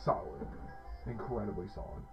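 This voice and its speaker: A man's voice: lowish voice, animated, moderate pace. A man hosting a casual hot sauce review, talking with enthusiasm and rambling through fillers and restarts. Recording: dull lo-fi sound with faint music.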